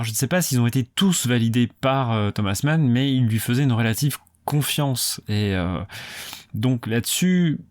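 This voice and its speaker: A man's voice: medium pitch, no room sound, studio quality. A young man, a musicology doctoral student, talking through his thesis in an unscripted solo talk, full of hesitations, fillers and restarts. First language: French. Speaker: musicology PhD student and video creator.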